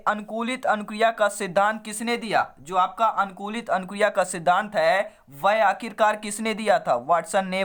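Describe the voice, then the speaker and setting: A man with a throat hoarse from a cold, teaching an online exam lesson by reading quiz questions and options, then repeating the answers to viewers in a familiar way. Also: high voice, fairly bright tone.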